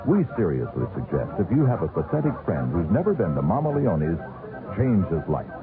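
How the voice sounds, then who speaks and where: A man's voice: low-pitched, sing-song, moderate pace. An American man delivering a persuasive scripted radio commercial in mock-pitying, rhetorical style. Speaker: advertising announcer. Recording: narrow vintage radio with music. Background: music.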